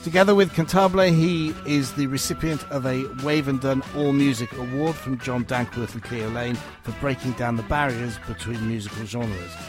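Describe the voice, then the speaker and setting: A male radio presenter speaks in a measured, formal way, moving from a scripted introduction into interview questions. His voice sits at a middle pitch. Music plays clearly behind him.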